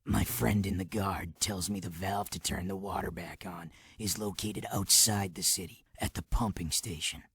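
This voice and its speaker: An actor playing a hardened, scornful underground resistance commander in a video game, with a low gravelly voice and a curt, commanding manner. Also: male voice, steady tone, moderate pace, hardly any pauses, a bit bright, no echo, exaggerated voice. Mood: briefing. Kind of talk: video game cutscene acting.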